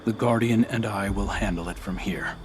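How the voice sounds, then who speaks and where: An actor playing a grim, vengeful veteran soldier in a science fiction game cutscene. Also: male voice, on the low side, moderate pace, noisy background, close to an everyday voice. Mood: bitter, grim. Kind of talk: cinematic video game acting.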